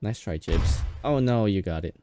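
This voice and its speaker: A male game streamer with a low, relaxed voice that moves around a lot. He chats casually and slangily, reacting to gameplay. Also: moderate pace, slight background noise. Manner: slangy, offhand. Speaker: game livestreamer.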